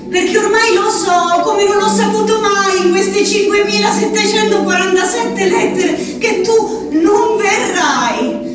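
A woman playing a high-voiced asylum inmate, echoey and distant. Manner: slow, intimate, shifting between hushed and sing-song. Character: woman confined in an asylum writing to her husband. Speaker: stage actress performing a monologue. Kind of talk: theatrical monologue, letter to a husband. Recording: echoey, distant radio theatre recording.

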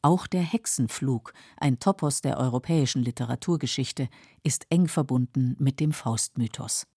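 A woman narrating a scripted literary radio feature in a measured, explanatory manner. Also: rumbling low, mellow, breathy edge, dry recording, clean studio sound. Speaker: radio presenter explaining a novel.

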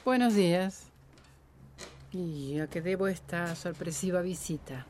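An actress playing a brisk, direct, self-assured village herbal healer in a radio drama. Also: female voice, fairly low pitch, darkish tone, a little hiss or hum, natural voice. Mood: brisk, curt.

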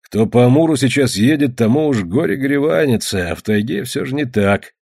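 A man's voice: mid-range voice, expressive, fairly quick, a little mellow, no echo, clean studio sound. A man voicing a cheerful, self-assured taiga hunter in an audiobook, talking in a folksy, confident way. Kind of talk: acted audiobook dialogue.

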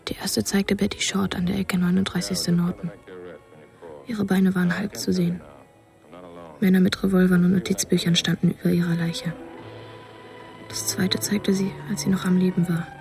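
A woman narrating a German radio drama in the first person as a writer's boyhood self, hushed and detached, obsessed with a murder. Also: on the low side, little pitch movement, moderate pace, creaky, long pauses. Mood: hushed, morbid. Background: music.

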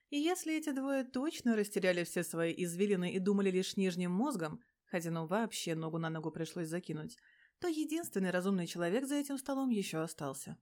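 Woman reading a story out loud, medium pitch, sardonic and casual storyteller delivery. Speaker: fan fiction narrator. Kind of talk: expressive audiobook-style storytelling with character voices.

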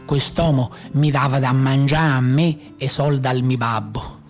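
A man's voice: medium pitch, steady tone, measured pace, faint music behind, lightly acted. An actor playing a rustic bandit with a Tuscan accent, telling his hard life in a plain, folksy theatrical monologue. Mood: earnest recollection. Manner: plain-spoken, folksy storytelling. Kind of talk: theatrical monologue in a radio play.

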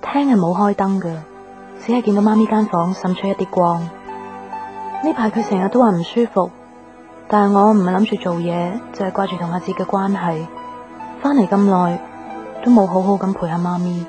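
Actress as a subdued, troubled young Cantonese daughter. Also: female voice, medium pitch, normal speed, over music, close to an everyday voice. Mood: brooding. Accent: Hong Kong Cantonese. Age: young adult. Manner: subdued, reflective. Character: troubled, dutiful young daughter, lovelorn and introspective. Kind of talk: Cantonese radio drama acting with inner monologue.

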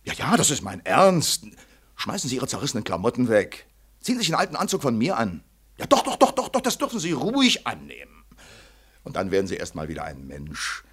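An actor playing a jovial, condescending older colonel in a vintage radio play, pompous and given to repeating himself, with a husky voice. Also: male voice, medium pitch, wildly swooping pitch, fairly quick, fairly bright tone, exaggerated voice. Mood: patronising. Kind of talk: 1940s radio drama acting. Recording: 1940s radio recording.

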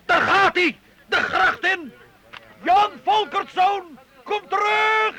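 Anguished, shrill medieval master. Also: male voice, takes it slowly, sharp tone, heavily acted. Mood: anguished, pleading. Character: anguished medieval master pleading to protect a youth. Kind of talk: Dutch radio play acting.

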